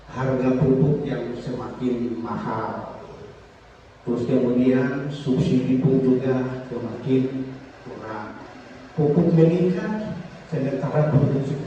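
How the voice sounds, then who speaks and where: Mellow-voiced man giving a formal speech. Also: mid-range voice. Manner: measured, reflective, pauses with "apa". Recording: echoey, distant, dull with noise.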